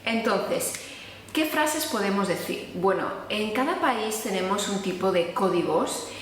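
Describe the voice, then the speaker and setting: A woman's voice: medium pitch, a little hiss or hum. A woman from Spain with a Spanish accent, teaching a casual online lesson and acting out sample dialogues. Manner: explanatory, acts out sample dialogues. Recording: echoey room with long reverb.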